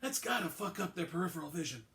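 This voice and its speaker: A man's voice: high voice, sing-song, quick pace, fairly bright tone, pitched above the performer's own voice, exaggerated voice. A man voicing a newly arrived male detective who answers back with incredulous retorts. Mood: wry. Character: high-strung male detective, newcomer.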